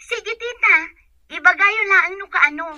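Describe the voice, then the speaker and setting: A woman's voice: very high voice, unhurried, bright, forceful tone, no echo, dull audio, full character voice. A woman playing a polite, obliging young student in a radio drama, with an Ilocano Filipino accent.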